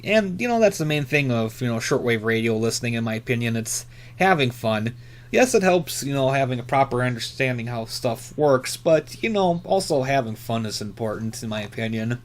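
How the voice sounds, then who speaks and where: A man with an average-pitched voice talks off the cuff like a hobbyist vlogger. His delivery is laid-back and rambling. He halts often, leaning on fillers as he gathers his next thought. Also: animated, moderate pace. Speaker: hobby radio YouTuber. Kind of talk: casual unscripted chat, reviewing channels.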